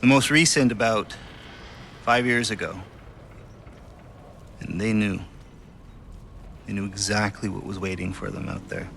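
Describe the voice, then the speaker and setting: Gravelly, earnest old man. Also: fairly low pitch, fairly even intonation, a little slow, long pauses, a bit bright, a little hiss or hum. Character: earnest, troubled old man, pleading scientist. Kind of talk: video game cutscene acting.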